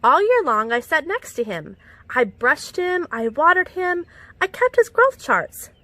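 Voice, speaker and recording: A woman reading a children's picture book aloud in an expressive, animated storytelling manner with clear enunciation. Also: high voice, a little slow, no echo. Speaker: storytime reader. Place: outdoors among plants.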